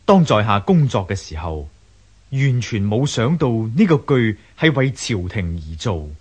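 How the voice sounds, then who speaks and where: A man acting a humble, earnest woodcarver of ancient China in a radio drama, husky, measured and deferential, with a Hong Kong Cantonese accent. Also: fairly low pitch, big pitch swings, heavily acted.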